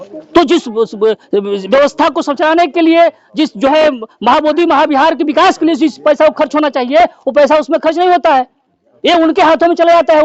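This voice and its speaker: Male activist orator, high voice, explanatory public address, muffled dry recording. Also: dry recording. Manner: didactic, explanatory, with rhetorical questions. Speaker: activist speaker. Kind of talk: public campaign speech.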